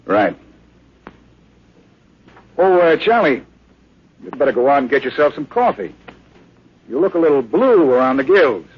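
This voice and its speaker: Brisk military colonel, raspy voice, lively pitch, muffled vintage radio serial. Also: male voice, slight background noise. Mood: brisk, casual authority. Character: brisk, commanding male army colonel. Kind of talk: 1950s radio drama acting. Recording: muffled old radio recording.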